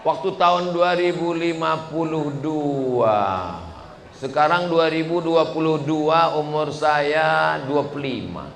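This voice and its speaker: A man in his forties giving a lively sermon to a crowd, asking rhetorical questions and swinging between loud and hushed delivery. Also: on the high side, slow, creaky, slight background noise. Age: 40s. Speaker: preacher. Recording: echoey hall with faint ambience.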